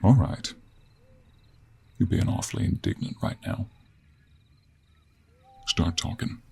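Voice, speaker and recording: A man playing a gruff, stubborn cowboy monster hunter in an audio role play, with an American Western accent, a folksy drawl and a husky voice. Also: normal speed, darkish tone, full character voice. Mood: stern, exasperated. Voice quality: husky, low.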